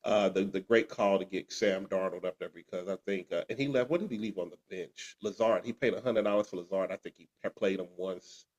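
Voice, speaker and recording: Sick podcast co-host, congested, lowish voice, rambling recap. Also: male voice, brisk, few pauses, a little mellow, highs cut off. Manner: rambling, restarts and "uh" fillers. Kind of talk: fantasy football recap chat.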